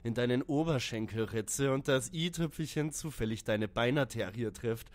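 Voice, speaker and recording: An actor playing a menacing, deranged obsessive fan with theatrical, drawn-out delivery in an audio drama parody. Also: male voice, medium pitch, no echo, lightly acted. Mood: aggrieved, manic.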